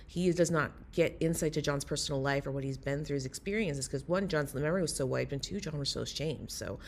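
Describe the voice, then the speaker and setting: A woman's voice: low voice, fairly quick. A woman recording a listener voice message of enthusiastic, earnest fan commentary. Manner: excited, earnest.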